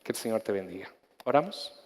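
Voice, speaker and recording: A male pastor with a Chilean accent, preaching with passion, repeating himself and restarting phrases, then praying softly and intimately. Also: lowish voice, sing-song, brisk, in a large hall. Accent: Chilean Spanish. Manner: impassioned preaching with repetitions and restarts; soft, intimate prayer. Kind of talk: sermon ending in prayer.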